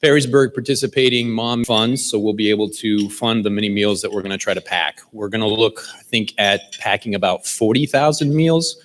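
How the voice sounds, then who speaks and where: A man's voice: fairly low pitch, brisk, hardly any pauses, a little hiss or hum. A man casually announcing a volunteer food-packing event to a club meeting. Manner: casual, joking. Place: meeting hall.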